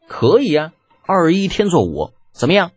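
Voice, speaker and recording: A man's voice: a little high, wildly swooping pitch, moderate pace, husky, dry recording, faint music behind, voice pushed up, heavily acted. A man voicing a cocky, glib young schemer in an audiobook, with a smug, persuasive manner. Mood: mock-earnest. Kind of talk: audiobook character acting.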